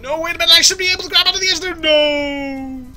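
Goofy, bright-voiced man playing a game. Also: very high voice, quick pace. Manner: goofy, jokey, talks to the game characters. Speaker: let's play host. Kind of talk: casual gameplay commentary. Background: game music.

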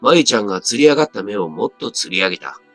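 A man's voice: lowish voice, very animated, fast talker, gravelly, dry recording. A man reading a scripted first-person story as a dramatic narrator. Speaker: story reader. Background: background music.

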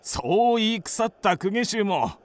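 Wry kabuki troupe member, period radio drama. Theatrical, slow, wide swings in pitch. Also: male voice, big pitch swings. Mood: teasing. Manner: theatrical, archaic speech. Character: wry, teasing old-time kabuki troupe actor.

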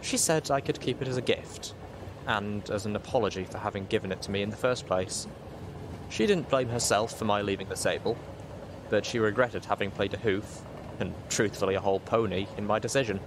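A man with a fairly low voice narrates a story from a script in the first person. Clear noise sits behind his reading. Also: brisk. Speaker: audiobook reader, show host.

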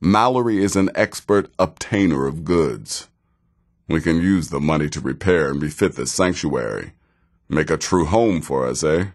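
A very deep, sardonic assassin. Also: male voice, expressive, full character voice. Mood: measured, grave. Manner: measured, dry, wry menace. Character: sardonic, seasoned assassin of a dark brotherhood. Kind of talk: video game character dialogue.

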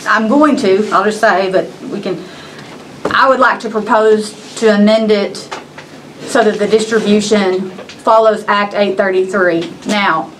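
A young woman speaks earnestly into a table microphone at a county meeting. She talks in a mid-range voice, pausing often and restarting her sentences. Faint room noise sits behind her. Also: mid-range voice, steady tone, low-quality recording. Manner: earnest, hesitant, many restarts. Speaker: justice of the peace. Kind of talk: public meeting remarks.

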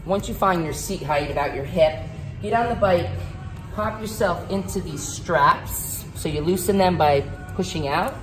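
Brisk woman instructor in echoey studio. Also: on the low side. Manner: brisk, instructive, matter-of-fact. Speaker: cycling instructor. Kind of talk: casual demonstration. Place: large gym cycling studio, indoors. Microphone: handheld phone camera. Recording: echoey studio room with music. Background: music playing.

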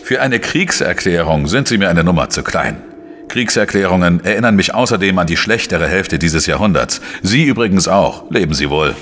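Deep-voiced, sardonic investigative journalist. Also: male voice, animated, moderate pace, fairly bright tone, heavily acted. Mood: sardonic, defiant. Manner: sardonic, laconic. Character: dry, defiant male investigative journalist, narrating his case. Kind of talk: radio play dialogue and first-person narration.

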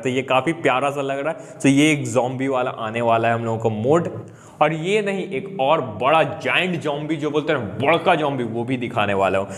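Chatty young Indian man, gaming commentary. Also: fairly high pitch. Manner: upbeat, chatty, mixes English words into Hindi. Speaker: gaming YouTuber. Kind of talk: excited gameplay update commentary.

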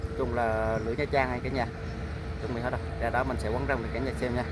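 A man in his thirties from Vietnam talks quickly and casually to his viewers as he fishes from a small boat beside a pier. He holds the camera at arm's length out on the open water. The outdoor audio is noisy, with wind and water behind his voice. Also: mid-range voice, level tone. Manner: chatty, keeps addressing viewers as family. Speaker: fishing vlogger. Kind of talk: casual vlog narration. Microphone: action camera held at arm's length. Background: wind, water and boat noise.

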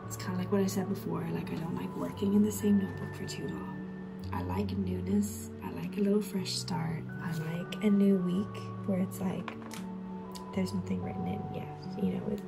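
A thirty-year-old woman talking in a relaxed, rambling voice-over, full of "like" and "um", soft-spoken. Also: lowish voice, normal speed, creaky, low-quality recording. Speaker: journal video creator. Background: background music.

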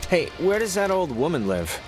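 A man with an American accent playing an awkward, laid-back teenage newcomer in a video game, hesitant and low-key. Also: very animated, normal speed, over music. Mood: casual, hesitant. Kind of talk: naturalistic video game acting.

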